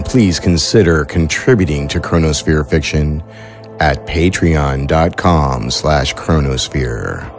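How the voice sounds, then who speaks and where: Male announcer for a closing credits read: very low pitch, measured scripted delivery, music underneath. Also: a little mellow. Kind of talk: scripted outro narration.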